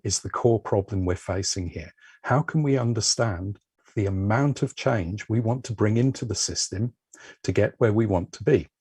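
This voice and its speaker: A man giving a measured, explanatory talk as a panel speaker on a video call. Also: mid-range voice, creaky, a little mellow, slightly rough, no room sound. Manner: measured, explanatory, uses metaphors.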